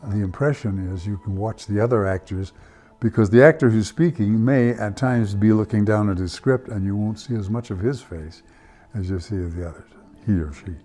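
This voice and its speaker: Older male theatre director, very low mellow voice, thoughtful interview delivery. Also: normal speed, hardly any pauses. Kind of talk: reflective documentary interview.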